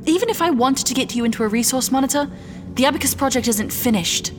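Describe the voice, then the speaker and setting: A woman's voice: high voice, fast talker, lightly acted. A woman playing a defensive, pleading neuroscientist in a sci-fi audio drama, urgent and exasperated. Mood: insistent, defensive. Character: defensive, pleading female neuroscientist, anxious but defiant.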